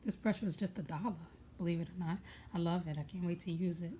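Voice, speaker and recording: A young woman with a raspy voice chatting casually at home, rambling with many fillers as she goes through her makeup bag. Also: lowish voice, fairly even intonation, quick pace, mellow, a little hiss or hum. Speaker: beauty vlogger.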